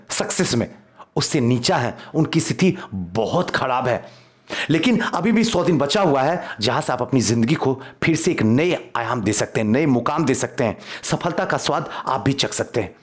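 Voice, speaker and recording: Animated man teaching. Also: a little high. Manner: emphatic, repeats questions and answers. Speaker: exam coaching teacher. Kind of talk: quiz lesson delivered to online viewers.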